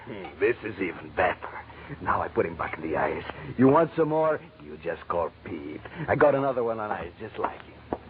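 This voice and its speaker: A greedy, pushy cantina owner. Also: male voice, low voice, sing-song, moderate pace, narrow, tinny audio. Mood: jovial hustling. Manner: wheedling, broken-English hustling salesman. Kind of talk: 1940s radio drama acting. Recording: thin, noisy vintage radio recording.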